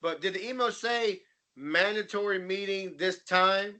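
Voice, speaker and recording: A man's voice: high voice, measured pace, sharp tone. A man co-hosting a podcast with casual, teasing, joking banter. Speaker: podcast co-host.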